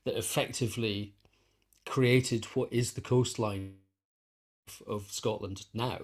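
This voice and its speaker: A man's voice: fairly low pitch, fairly even intonation, takes it slowly. A man being interviewed, explaining his work in a casual conversational way, thinking aloud and repeating phrases as he goes. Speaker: interview guest, composer and conductor.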